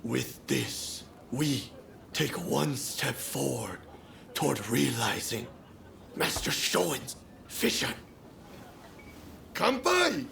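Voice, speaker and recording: Faint ambience surrounds a devoted male revolutionary in a game. His voice is heavily creaky, and he speaks with ceremonial slowness yet wide, fervent movement in pitch. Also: sing-song, sharp tone, a little hiss or hum, exaggerated voice. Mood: solemn, fervent. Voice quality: gravelly. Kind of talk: video game cutscene acting.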